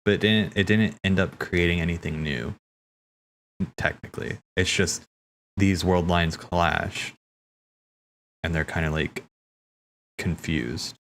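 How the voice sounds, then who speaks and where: A man streaming casual, rambling commentary on game cutscenes, thinking aloud in a halting way and repeating himself. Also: deep voice, fairly even intonation, fairly bright tone, slight background noise.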